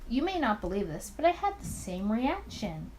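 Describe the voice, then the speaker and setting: A woman as a male game mobster, calm, polite, sly, smooth talker, faint noise behind. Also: fairly high pitch, normal speed, rough recording. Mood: sly, courteous.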